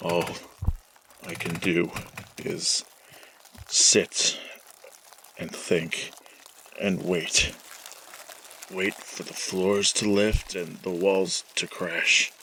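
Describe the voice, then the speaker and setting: Haunted chemist male actor, brooding radio-drama monologue: very slow, repetitive, hard-edged low voice, faint noise. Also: little pitch movement, pushed and bright, full character voice, rough recording. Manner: halting, brooding, obsessively repeating words. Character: tormented, obsessive male chemist recalling his past. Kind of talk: 1940s radio horror monologue.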